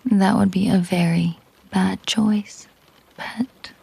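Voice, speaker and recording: A woman playing a possessive, coolly menacing mafia boss girlfriend in an intimate audio roleplay, teasing and commanding in a breathy, husky murmur. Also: mid-range voice, fairly even intonation, slow, dark tone, heavily acted.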